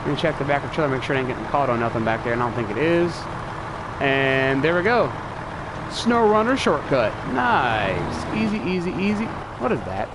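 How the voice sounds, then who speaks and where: A man's voice: medium pitch, animated, a little fast. A man with a stuffy nose from allergies, thinking aloud in casual live commentary as he streams a truck-driving game. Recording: clear game engine and truck noise behind voice. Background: truck engine and vehicle sounds from the game.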